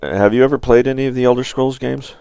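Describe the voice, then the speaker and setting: A middle-aged man hosting a gaming livestream, chatting casually in a rambling, halting way. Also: medium pitch, fairly even intonation, dark tone, smooth, no room sound.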